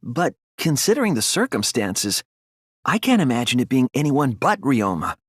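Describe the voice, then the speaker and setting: A man voicing an earnest young apprentice detective in a dramatic anime video game, reasoning with deliberate intensity. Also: medium pitch, very animated, husky, dry recording, studio quality. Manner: earnest, deliberate, deductive, sometimes hesitant.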